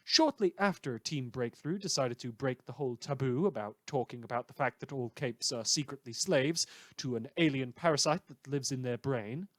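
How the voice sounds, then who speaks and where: A man playing a sardonic, bitter radio news host in a fan audio drama, with theatrical, drawn-out emphatic phrasing. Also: mid-range voice, lively intonation, no room sound, lightly acted. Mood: mocking, ominous. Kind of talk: scripted satirical radio news monologue.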